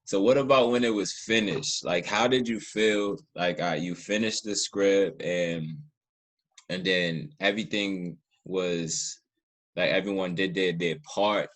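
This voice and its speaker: Man, very low voice, podcast host, casual conversational style, informal, feels his way to words. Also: measured pace, a bit bright.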